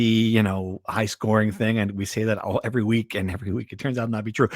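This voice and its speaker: A man's voice: on the low side, no room sound, studio quality. A man co-hosting a sports podcast, talking through games in a casual, conversational style.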